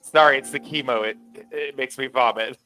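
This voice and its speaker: A man co-hosting a rewatch show, joking with sarcastic, dark humor in casual chat. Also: mid-range voice, fairly quick, faint music behind, low-quality recording. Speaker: rewatch podcast co-host.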